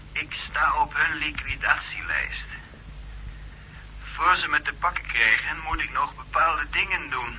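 A man's voice: medium pitch, pushed and bright, heavily acted. An actor playing a guarded, urgent man on the run in a 1960s radio drama, giving insistent, clipped instructions. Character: urgent, secretive man hunted by a secret police. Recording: muffled old radio recording, faint hum.

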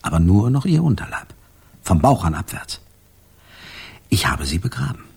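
An actor playing a brooding, jealous man with a husky, breathy voice, formally recalling a night in a radio crime drama. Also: fairly low pitch, big pitch swings, normal speed, darkish tone, full character voice. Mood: guilty, brooding.

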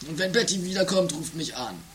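A man acting a theatrical, authoritarian father who stammers and bursts into shouts in an improvised trash radio comedy. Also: on the high side, lively intonation, brisk. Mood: evasive. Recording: noisy 1980s home-made radio play recording.

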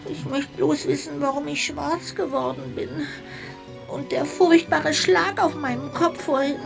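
A little ghost from a children's radio play, childlike and anxious, in a high voice with a creaky edge. It laments slowly and dramatically. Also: female voice, over music, exaggerated voice. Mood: wretched, lamenting. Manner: expressive, exclaiming, drawn-out lamenting delivery.

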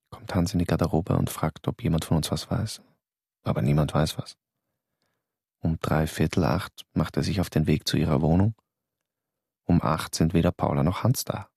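An actor playing a shaken stage actor who reads his letter aloud in a measured, low-key way. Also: vocal fry, a little mellow, husky, no room sound, heavily acted. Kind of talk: letter read as a narrated voice-over. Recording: dry close studio recording.

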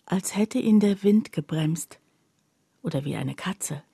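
A woman narrating a literary radio play in the first person, in a measured, hushed, reflective way. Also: on the low side, expressive, creaky, husky, no echo, studio quality, exaggerated voice.

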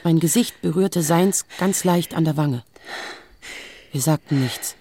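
A woman's voice: low voice, a little slow, warm tone. An actress playing a weary, defiant woman in her early forties, narrating her story in a sober, restrained literary radio drama style. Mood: sober, grieving. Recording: music behind the voice. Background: music.

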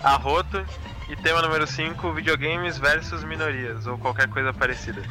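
A man's voice: a little high, steady tone, normal speed, pushed and bright, soft background music. A man with a Brazilian accent chatting casually as co-host of a live show. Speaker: podcast co-host.

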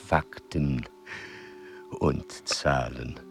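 An actor in a German radio play playing a frail old explorer, earnest and obsessed with facts, speaking haltingly and pedantically in a creaky voice. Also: male voice, rumbling low, fairly even intonation, over music, heavily acted. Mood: solemn, insistent.

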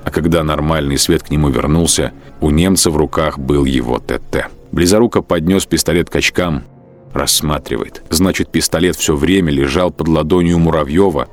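A man giving a measured, dramatic literary narration of a war story for an audio drama. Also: extremely low pitch.

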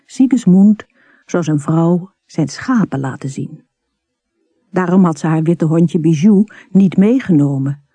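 A narrator reading a literary story in a measured, unhurried manner, with a husky, soft tone. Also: mid-range voice, dry recording.

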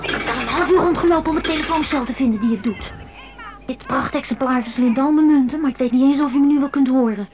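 A woman's voice: high voice, fast talker, background noise, close to an everyday voice. An actress playing a lonely, impulsive seventeen-year-old schoolgirl confiding over the phone in a radio drama. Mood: annoyed. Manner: impulsive, confiding, swinging between defiant and tender.

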